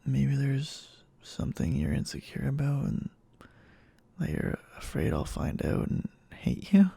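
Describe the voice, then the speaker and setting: A man playing a clingy, obsessively devoted boyfriend in an intimate ASMR roleplay, speaking haltingly in a breathy half-whisper. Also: mid-range voice, wildly swooping pitch, dark tone, dry recording, heavily acted. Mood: reassuring, uneasy. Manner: halting, slow, hesitant.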